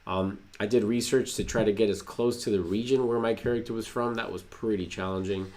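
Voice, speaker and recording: A man born in Colombia, co-hosting a casual livestream chat about acting in a relaxed, reflective way. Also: fairly low pitch, a little fast. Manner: relaxed, reflective, mixes in Spanish. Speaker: co-host.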